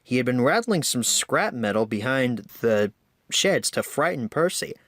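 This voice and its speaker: A man reads a children's story aloud as narrator. He takes it slowly and carefully, with lively, expressive movement in pitch. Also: dry recording, studio quality. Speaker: amateur story reader.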